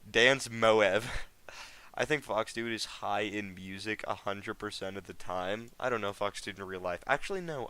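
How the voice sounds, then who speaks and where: American teen streamer rambling to chat, kinda low voice, crisp and bright. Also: male voice. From United States. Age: teens. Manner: rambling, slangy, chatty. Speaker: game streamer. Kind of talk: casual live stream chat.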